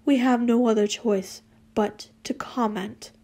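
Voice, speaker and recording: A woman's voice: on the high side, fairly even intonation, a little slow, warm tone. A woman voicing a stern, exasperated man in a story, curt and commanding. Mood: resigned. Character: stern, seething adult man, demanding and exasperated.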